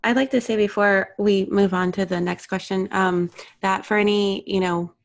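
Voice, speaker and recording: A woman co-hosting a podcast, speaking thoughtfully and haltingly, with many pauses and fillers. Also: mid-range voice, steady tone, creaky. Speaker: co-host. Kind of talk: casual podcast conversation.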